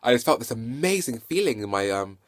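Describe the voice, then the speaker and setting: A man with a stutter giving an unscripted, enthusiastic game review, halting and often correcting himself, recorded on a handheld phone. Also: medium pitch, sing-song. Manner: halting, self-correcting and repetitive. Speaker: video game reviewer and vlogger. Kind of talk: unscripted casual hands-on review.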